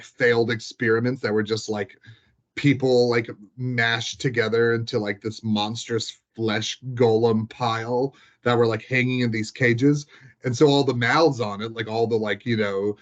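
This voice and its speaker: A man chatting casually as he recounts tabletop game sessions, rambling and peppering his talk with "like". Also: medium pitch. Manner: rambling, frequent "like" as filler. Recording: dull, lo-fi sound.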